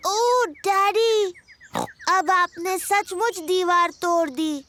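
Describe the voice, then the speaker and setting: A woman's voice: extremely high pitch, extremely slow, fairly bright tone, soft background music, exaggerated voice. A young female performer voicing a cheeky, outspoken little girl pig in a children's cartoon dub. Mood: frank, teasing. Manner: childlike, frank, teasing.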